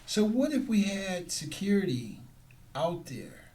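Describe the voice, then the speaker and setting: A man's voice: high voice, very animated, unhurried, mellow. Emphatic male security guard trainer. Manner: emphatic, repeats points for stress. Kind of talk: casual interview conversation.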